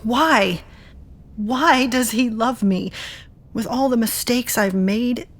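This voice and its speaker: A woman's voice: on the high side, slow. A woman playing an earnest, reflective woman searching for answers in an audio drama.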